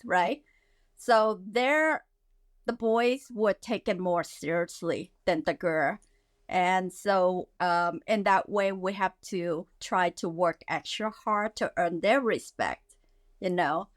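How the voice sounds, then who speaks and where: Slow, halting woman. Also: medium pitch, no room sound. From Vietnam. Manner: slow, halting, with many fillers. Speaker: guest, skincare founder. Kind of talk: casual interview talk.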